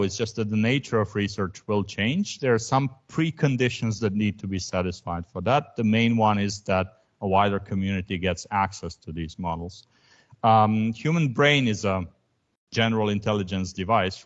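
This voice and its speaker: A man whose first language is Croatian, giving an academic lecture in a thoughtful way, with frequent ums and restarts. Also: on the low side. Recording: dull lo-fi recording.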